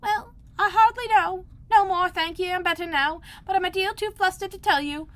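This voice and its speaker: A woman voicing a feeble, flustered little male lizard with a squeaky voice. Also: moderate pace, a bit bright.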